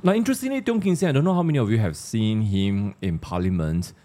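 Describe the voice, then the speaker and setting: A man's voice: fairly low pitch, animated, fast, warm tone. A man with a Malaysian accent hosting a casual panel discussion, conversational and putting questions to his co-panelists. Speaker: discussion host.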